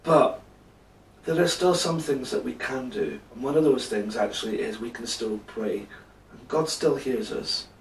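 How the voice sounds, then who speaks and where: A man in his thirties telling a children's Bible bedtime story with drawn-out emphasis, seated indoors. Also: medium pitch, slight background noise. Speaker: storyteller for a church. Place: indoor room, seated before a backdrop. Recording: roomy, echoing, distant microphone.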